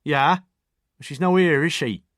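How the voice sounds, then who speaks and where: A man's voice: fairly high pitch, measured pace, fairly bright tone, dry recording, studio quality, voice pushed up. Man doing a blunt Oxfordshire woman. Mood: hostile. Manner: blunt, suspicious. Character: rough local woman on the phone, rude.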